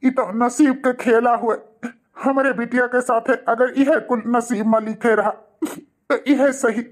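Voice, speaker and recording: A man's voice: very high voice, fairly even intonation, unhurried. A man acts out an older, humble village father in a cartoon family melodrama. He speaks with an Awadhi accent, sentimental and resigned to his sorrow. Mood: wounded, resigned. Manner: stammering when shaken, sentimental.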